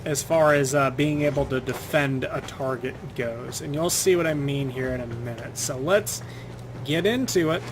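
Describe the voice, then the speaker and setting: A young man, slightly hoarse, giving loose casual commentary over his gameplay, with some swearing. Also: mid-range voice, normal speed, background noise. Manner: loose, profane trash talk at enemies. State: slightly hoarse, itchy throat. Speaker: gaming channel host.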